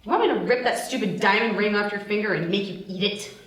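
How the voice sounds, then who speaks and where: A woman's voice: medium pitch, quick pace, fairly bright tone, lightly acted. Woman acting a bossy heist leader, distant mic. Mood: threatening. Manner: commanding, irritable. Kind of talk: screenplay table read. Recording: roomy, distant mic, faint noise.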